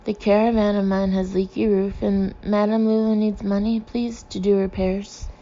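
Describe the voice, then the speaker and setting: Woman doing a gushing foreign-accented fortune-teller, gravelly edge. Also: medium pitch, little pitch movement, normal speed, dark tone, highs cut off, close to an everyday voice. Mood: pleading. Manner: broken English with odd word order. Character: gushing, pleading female carnival fortune-teller, theatrical foreign English.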